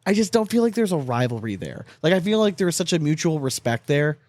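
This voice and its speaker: A man's voice: on the high side, big pitch swings, a little fast, a little mellow, no echo. Loose, candid man co-hosting a podcast. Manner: loose and candid, swears freely, laughs between points. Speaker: podcast co-host. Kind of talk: casual sports chat.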